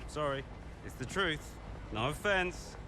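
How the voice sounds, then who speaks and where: An actor playing a cocky, needling ex-racing driver in a video game, talking in a casual, matey way. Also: male voice, on the high side, expressive, slow. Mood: needling, frank. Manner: casual, hesitant fillers, matey. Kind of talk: dramatic game cutscene dialogue. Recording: clear background noise of cars outdoors.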